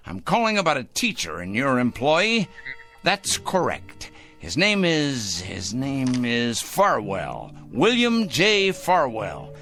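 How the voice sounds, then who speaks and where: An actor playing a fanatical, self-righteous male vigilante in a radio drama, swinging from hushed murmurs to shrill outbursts. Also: wildly swooping pitch, slow, exaggerated voice. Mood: indignant. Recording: faint music score behind.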